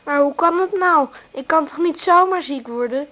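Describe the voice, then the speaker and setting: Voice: sits high, moderate pace, bright, forceful tone. A performer playing an unwell, whiny young schoolboy in a naturalistic radio play, plaintive and childlike.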